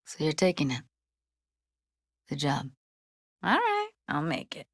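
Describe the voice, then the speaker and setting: A woman's voice: low-pitched, wildly swooping pitch, unhurried, no room sound, studio quality, exaggerated voice. A woman playing a guarded, blunt but tender young techie in a video game, speaking in a casual, clipped, streetwise way. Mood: concerned, guarded. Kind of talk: naturalistic video game voice acting.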